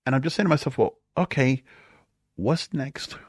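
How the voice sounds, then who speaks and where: A man's voice: mid-range voice, lively intonation, moderate pace, slightly rough, no room sound, studio quality. A man hosting a podcast alone in a casual, conversational, rambling way, as if thinking aloud. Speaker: podcast host. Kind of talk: casual solo monologue. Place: home or small studio.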